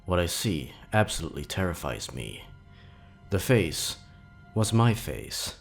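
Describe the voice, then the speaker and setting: Low-pitched man narrating horror with dramatic swings. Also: big pitch swings, unhurried, gravelly, soft background music. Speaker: horror story narrator and channel host. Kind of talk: dramatic scripted narration of creepy stories.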